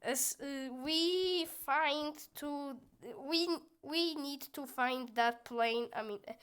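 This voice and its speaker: A woman's voice: high voice, slow, a bit bright. A young woman with a youthful voice, chatting goofily while she plays a game and reads its lines aloud. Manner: chatty and goofy, with squealing outbursts. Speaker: gameplay streamer. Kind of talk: casual gameplay commentary, reading game dialogue aloud.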